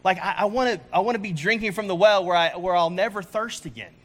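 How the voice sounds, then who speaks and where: A man's voice: high voice, quick pace. A man in his forties preaching a sermon in a casual, conversational way, often restarting his sentences. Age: 40s. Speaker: pastor.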